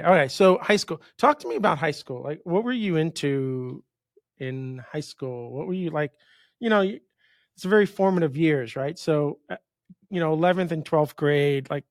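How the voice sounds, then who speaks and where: A man's voice: on the high side, fairly quick, no echo, clean studio sound. A man in his fifties from New York hosting a casual podcast interview, telling rambling stories and interrupting himself. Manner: rambling, storytelling, self-interrupting. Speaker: podcast host.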